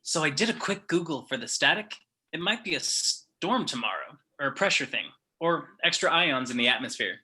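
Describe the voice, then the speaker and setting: A man's voice: on the high side, animated, normal speed, sharp tone. A man reading the part of a breezy, nerdy, wisecracking teenage best friend, in an amateur script read. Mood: matter-of-fact.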